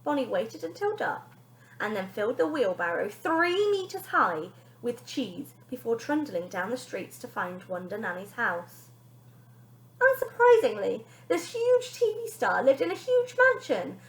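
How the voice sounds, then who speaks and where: A woman reads a children's story aloud like a teacher, with great expression. Her fairly high voice rises and falls widely, and the room adds a clear echo. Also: big pitch swings, unhurried. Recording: echoey room.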